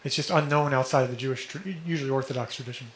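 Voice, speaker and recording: A man teaching a study group, explaining texts and often checking in with listeners, a little hoarse and clearing his throat. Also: medium pitch, steady tone, rapid-fire. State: slightly hoarse, coughing. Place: indoor room with a vent. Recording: echoey room with background noise.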